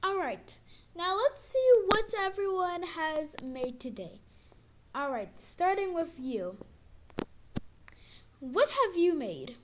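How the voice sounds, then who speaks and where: A woman's voice: very high-pitched, slow, pauses often, full character voice. A woman playing an exasperated teacher losing patience with an online class, in an amateur skit with dramatic, sighing delivery. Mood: forced cheer. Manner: exasperated, sighing, dramatic swings from calm to outburst. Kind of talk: amateur animated-skit voice acting, one side of dialogue. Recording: clicky home recording with background noise.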